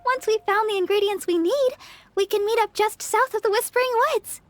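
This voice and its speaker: A woman's voice: very high-pitched, a little fast. A woman voicing a sweet, earnest young church sister in an anime game, speaking politely and eager to please. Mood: thoughtful, polite. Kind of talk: anime game character voice acting. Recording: music behind the voice. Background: game music.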